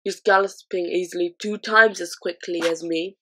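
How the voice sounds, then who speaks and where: A woman doing a gentle big friendly giant. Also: fairly low pitch, fairly even intonation, moderate pace. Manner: mangled grammar, odd made-up words.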